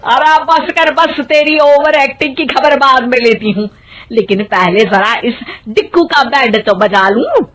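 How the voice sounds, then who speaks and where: A woman voicing a sharp-tongued, bossy middle-aged mother-in-law in a cartoon story. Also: sits high, measured pace, faint music behind. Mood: stern. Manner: scolding, sarcastic.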